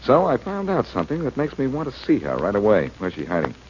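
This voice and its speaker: A man's voice: medium pitch, wildly swooping pitch, quick pace. An actor playing a glib, self-assured amateur sleuth in a 1940s radio drama, bantering as he goes. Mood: teasing. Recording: dull, noisy 1940s radio recording.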